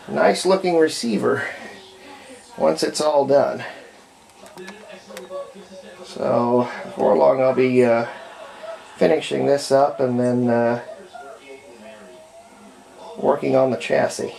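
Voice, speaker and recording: A man, middle-aged, giving an unhurried, rambling, step-by-step commentary on hands-on restoration work, recorded indoors at home. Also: mid-range voice, gravelly, a little mellow, poor audio. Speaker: hobbyist radio restorer presenting own repair videos. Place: indoors at home, partly in a bathroom.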